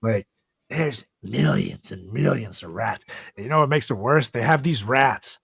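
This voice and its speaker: A man in a casual comedic podcast chat, telling stories in a profane, animated way. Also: mid-range voice, measured pace, gravelly, slightly rough, no room sound, clean studio sound. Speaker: podcast host.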